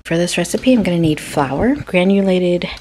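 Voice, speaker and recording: A woman's voice: on the low side, expressive, normal speed, mellow, slightly rough. A woman narrating a casual cooking vlog in a chatty, informal, playful way. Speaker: home cook vlogger. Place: home kitchen, indoors.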